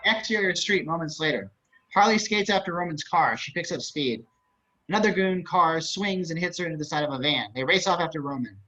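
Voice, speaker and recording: A man's voice: a little high, lively intonation, a little fast, pushed and bright, faint music behind. A man reading scripted action narration and stage directions over a video call. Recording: dull video-call audio.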